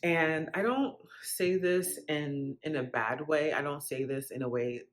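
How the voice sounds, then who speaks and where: A woman's voice: low voice, unhurried. A woman in her late thirties chatting candidly and casually to her viewers as a vlogger, reflective and emphatic, often checking in with listeners. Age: late 30s. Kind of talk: candid casual chat to camera.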